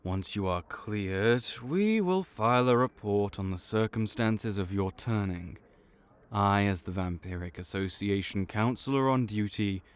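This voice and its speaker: A veteran vampire counselor, played by a man, works through paperwork and checkups in a calm, clinical manner. His voice sits fairly low, and he takes his time over every word. He sounds patient and bureaucratic, like a seasoned official in an audio roleplay. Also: clean tone. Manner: measured, clinical, patiently reassuring.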